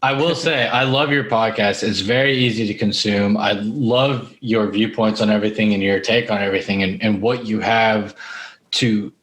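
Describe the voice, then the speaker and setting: A man's voice: fairly low pitch, steady tone, normal speed, a bit bright. A man hosting a podcast in a casual, conversational interview style. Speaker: podcast host.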